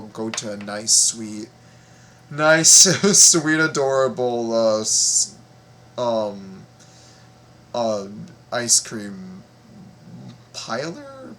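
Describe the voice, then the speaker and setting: A man hosting a casual reaction video, talking in a halting, playful way and often repeating his words. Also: fairly low pitch, a bit bright, a little hiss or hum.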